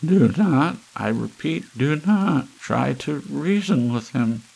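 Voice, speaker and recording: A man's voice: mid-range voice, animated, slow, vocal fry, darkish tone, breathy edge, pitched above the performer's own voice, heavily acted, low-quality recording. A man voicing a ballerina who reads an urgent news bulletin in a strained, squawking voice, in an audiobook story. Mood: urgent warning. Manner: urgent, emphatic bulletin delivery. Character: ballerina news reader forcing a harsh grackle squawk.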